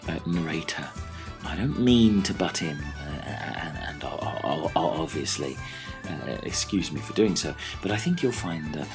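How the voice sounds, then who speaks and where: A man playing a hesitant, apologetic pedant in a comic radio play, fussy, halting and over-polite. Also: rumbling low, lively intonation, heavily acted. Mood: apologetic pedantry. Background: music.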